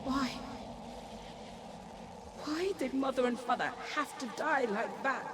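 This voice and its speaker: An actress playing a proud, bitter rival warrior in a dramatic video game, with a deliberate, terse delivery. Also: female voice, high voice, very noisy. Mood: bitter anguish. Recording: very loud music over the voice. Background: loud game score.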